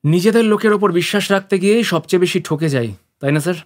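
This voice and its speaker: A man's voice: high-pitched, fast, slight background noise, natural voice. A man playing an eager, inquisitive young detective's assistant in a cartoon, with dramatic, questioning delivery. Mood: earnest. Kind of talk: Bengali cartoon detective dialogue.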